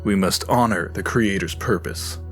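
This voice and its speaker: A man's voice: low voice, moderate pace, fairly bright tone, faint music behind. A man playing a wise, compassionate immortal leader in a fantasy audio drama, solemn and formal. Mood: sorrowful, steadfast.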